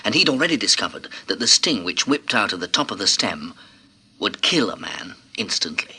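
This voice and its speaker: A man's voice: on the low side, sharp tone, husky, full character voice. An actor playing a grave, reflective survivor with an English accent, narrating his story in a classic radio drama. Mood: sober, recounting. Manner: sober, reflective. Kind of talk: scripted dramatic first-person narration.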